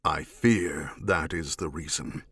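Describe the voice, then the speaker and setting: A man's voice: rumbling low, slow pace, a bit bright, breathy edge, no room sound, pitched below the performer's own voice, exaggerated voice. A man voicing a proud, weary alien warrior leader with a formal, solemn manner, in an audiobook. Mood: somber, resolute. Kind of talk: audiobook character dialogue.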